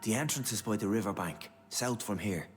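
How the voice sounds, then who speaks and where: A man's voice: lowish voice, brisk, faint music behind, lightly acted. A man voicing a pious undead preacher in a video game, serene and slyly evasive, with a lilting, unctuous, sermonizing manner. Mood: calm, assuring.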